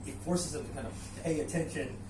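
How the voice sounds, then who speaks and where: A man with a slightly higher voice speaks up animatedly, laughing as he talks. He is heard from across an echoey room. Background chatter is clear. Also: fast, far from the mic, noisy background. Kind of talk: casual group chat.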